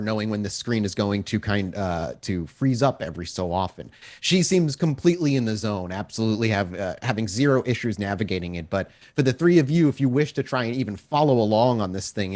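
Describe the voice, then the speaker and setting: A man acting as storyteller, improvising descriptive narration for a tabletop roleplaying game in a halting way. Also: fairly low pitch, a little mellow.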